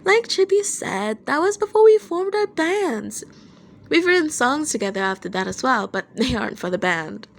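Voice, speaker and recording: A woman's voice: high-pitched, very animated, moderate pace, creaky, a little hiss or hum, voice pushed up, heavily acted. A woman playing a playful, teasing young male rock band leader with a breezy, casual manner in an audio story.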